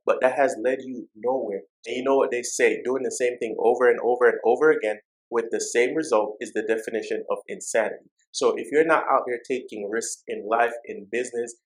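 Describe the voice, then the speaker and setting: A man with a Jamaican accent talks to camera about personal finance, and his voice sits at an ordinary mid pitch. He presses his points in a direct, exhorting way and stacks repeated phrases to drive each one home. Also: fairly even intonation, brisk, hardly any pauses, poor audio. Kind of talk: motivational talk to camera.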